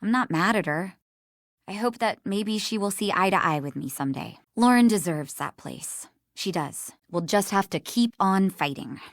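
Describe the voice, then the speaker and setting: A woman's voice: mid-range voice, animated, normal speed, no echo, clean studio sound, close to an everyday voice. A woman playing a sincere, remorseful young woman in a video game, speaking in an earnest, conversational way.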